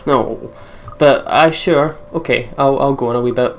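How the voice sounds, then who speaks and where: A man's voice: medium pitch, moderate pace, slight background noise. A man with a Scottish accent giving casual commentary as co-host of a let's play.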